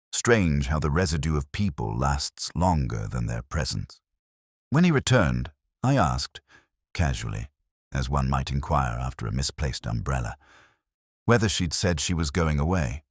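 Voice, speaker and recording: A man playing a brooding, erudite middle-aged detective, narrating an audio drama in measured, reflective, literary phrases. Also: a bass voice, husky, no room sound, studio quality, heavily acted. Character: brooding, erudite male English police detective, melancholy, observant.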